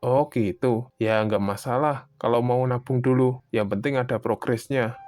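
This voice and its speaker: A man playing a friendly older-brother figure, casual, teasing and full of advice, in an animated cartoon. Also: medium pitch, moderate pace, no echo, studio quality, lightly acted. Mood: friendly, curious. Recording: voice over prominent background music.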